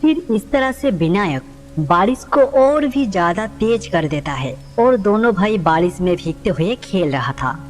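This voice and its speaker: A woman's voice: mid-range voice, animated, a little slow, darkish tone. A woman reading scripted narration for a devotional story. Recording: long reverb, music underneath.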